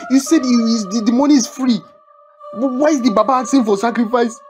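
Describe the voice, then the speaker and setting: A man's voice: fairly high pitch, lively intonation, moderate pace, lightly acted. Male actor as desperate Nigerian husband, stammering, repetitive, melodramatic film delivery, faint music behind. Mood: panicked. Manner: stammering, agitated, repeats words. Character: desperate poor husband entangled in a money ritual.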